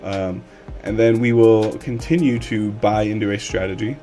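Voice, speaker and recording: A man with a lowish, slightly hoarse voice talks through a live coding stream at his desk. He rambles along loosely, with plenty of ums and restarts. Music plays clearly under his voice. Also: moderate pace. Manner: relaxed, rambling with many fillers. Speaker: livestream coding instructor. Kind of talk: casual live-stream tutorial.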